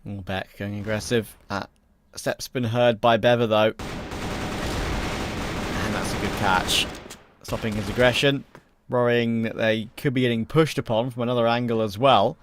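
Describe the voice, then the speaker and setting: A man's voice: medium pitch, fairly even intonation, moderate pace, long pauses, slight background noise. A man in his late twenties doing play-by-play commentary on an esports match, trading easy banter with a co-caster. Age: 20s.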